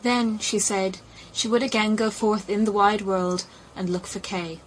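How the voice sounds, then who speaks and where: A woman's voice: medium pitch, steady tone, a little slow, low-quality recording. Woman narrating fairy tales over background noise. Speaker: audiobook narrator. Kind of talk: scripted fairy tale narration.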